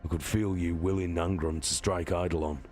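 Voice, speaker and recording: A man's voice: low-pitched, steady tone, moderate pace, darkish tone, faint music behind, lightly acted. A man voicing a sober, weary soldier captain, grave and matter-of-fact, in a dramatic book reading. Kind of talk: dramatic audiobook character reading.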